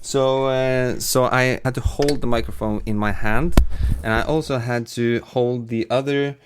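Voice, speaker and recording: A man working as a sound designer, chatting casually behind the scenes in a reflective, halting way as he searches for words. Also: medium pitch, fairly even intonation, slight background noise.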